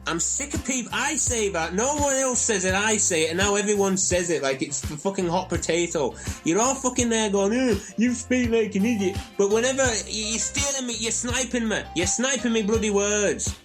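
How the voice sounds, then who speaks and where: A high-voiced, indignant bloke played by a man rants and swears his way through a comedy radio play. Music plays clearly behind his aggrieved voice. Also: normal speed, hardly any pauses, close to an everyday voice. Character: ranting, indignant, foul-mouthed bloke. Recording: music under the voice.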